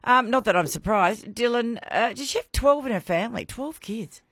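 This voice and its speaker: A man hosting radio with a high, lively voice that swoops up and down. He is enthusiastic and encouraging. The sound is dry and close, with light music beneath. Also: dry recording. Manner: enthusiastic, encouraging, quick to laugh. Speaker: radio host. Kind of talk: casual radio chat.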